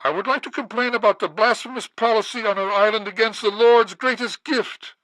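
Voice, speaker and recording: Game radio reverend: boozy, grandiose, preachy, high-pitched and forceful. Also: male voice, fairly even intonation, brisk, no room sound, poor audio. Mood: indignant. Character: boozy, pompous male island preacher. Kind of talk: satirical game radio acting.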